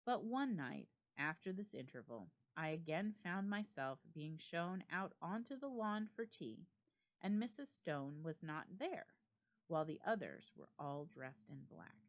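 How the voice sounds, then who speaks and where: Expressive woman reading aloud, widely varied pitch. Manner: measured, expressive, deliberate phrasing with pauses. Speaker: library staff member reading a story aloud. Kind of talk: dramatic reading of a classic ghost story.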